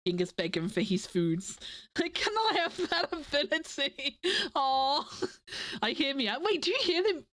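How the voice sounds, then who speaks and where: A woman's voice: high-pitched, very animated, unhurried, fairly bright tone, no echo. A woman streamer chatting casually to her viewers, stuffy and nasal from a cold, rambling and giggling often. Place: home desk. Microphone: desk mic.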